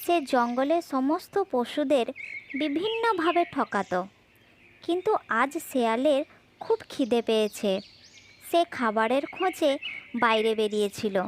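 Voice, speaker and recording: A woman with a distinctly high voice narrates a children's fable in a scripted storytelling style. There is faint noise in the recording. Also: lively intonation, a little slow.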